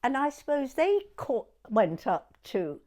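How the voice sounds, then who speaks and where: A woman in her nineties from Dorset with a southern English accent, reminiscing in an interview in a hesitant, halting way and repeating words. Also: high voice, very animated, darkish tone. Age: 90s. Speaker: oral history interviewee.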